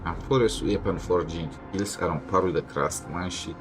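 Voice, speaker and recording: A man with a low voice comments casually on a game as he plays. He is irreverent and heckles the characters, with game music clearly heard behind him. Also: normal speed. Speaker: game streamer. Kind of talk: casual gameplay commentary, reading dialogue aloud. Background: loud game music.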